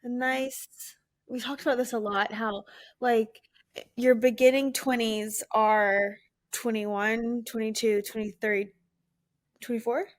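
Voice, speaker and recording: Soft-spoken woman in her late twenties. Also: fairly high pitch, little pitch movement, slow pace, no room sound. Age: late 20s. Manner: soft-spoken, tired, giggly. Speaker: podcast co-host. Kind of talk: casual chat.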